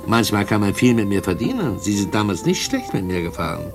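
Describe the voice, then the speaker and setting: Smug low-voiced male blackmailer. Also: sing-song, a little fast, music in the background. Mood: cajoling. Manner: smug, cajoling, mock-courteous, chuckling. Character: sly sinister male blackmailing drug dealer, mockingly polite. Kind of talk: mid-century German radio drama acting.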